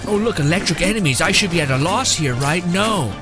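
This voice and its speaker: A man's voice: sits high, animated, a little fast. Young man commentating over prominent game music. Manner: slangy, wry, rambling. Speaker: gaming streamer. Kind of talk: casual live game commentary.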